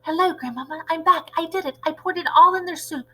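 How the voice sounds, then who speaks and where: A plucky small mouse-boy from a storybook, voiced by a woman. The voice is high, bright and eager, like a child reporting to family. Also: a little fast. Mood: excited, proud. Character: little boy turned mouse, plucky, talking to his grandmother.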